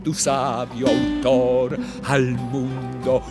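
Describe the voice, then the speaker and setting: A man's voice: medium pitch, completely flat delivery, slow, over loud music. A man performing verse as a balladeer in a radio play.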